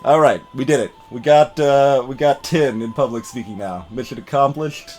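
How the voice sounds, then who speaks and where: A man live-streaming a game with playful, animated delivery, chatting casually and reading the game text aloud. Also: medium pitch, moderate pace. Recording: music behind the voice. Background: game music.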